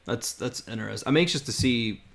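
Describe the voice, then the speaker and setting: Man, mid pitch, podcast host, casual chat, hesitant, repeats words. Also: brisk, vocal fry, a bit bright.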